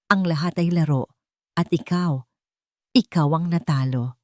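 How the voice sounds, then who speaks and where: A woman's voice: low voice, measured pace, a little mellow, dry recording, studio quality. A woman voicing a scheming, gold-digging young mistress in an audio love drama, taunting and boastful.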